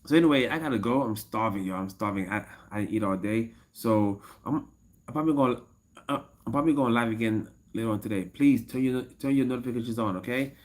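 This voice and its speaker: Man, 50s, MMA coach, livestream chat, rambling, repeats himself, laughs often, mid pitch. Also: fast talker. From United States. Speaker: MMA fighter and coach streaming live.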